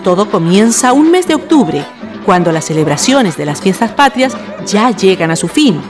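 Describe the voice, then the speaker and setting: A woman's voice: mid-range voice, very animated, normal speed. A woman with a Chilean accent narrating a scripted radio drama as its host, in a storytelling style. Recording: music behind the voice. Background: music.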